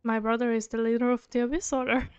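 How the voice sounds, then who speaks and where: A woman doing casual, chatty game commentary in an unhurried, hesitant way, picked up unevenly by a gated microphone. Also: fairly high pitch, little pitch movement, darkish tone, clean tone, dry recording, lo-fi sound. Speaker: game streamer. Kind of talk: casual game playthrough commentary, reading dialogue aloud. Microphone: gated microphone, uneven pickup. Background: game music.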